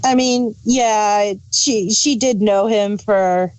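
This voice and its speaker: A woman's voice: medium pitch, takes it slowly, a little mellow. A woman co-hosting a casual review, playfully acting out imagined bits of dialogue as she comments. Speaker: podcast co-host reviewing audio dramas.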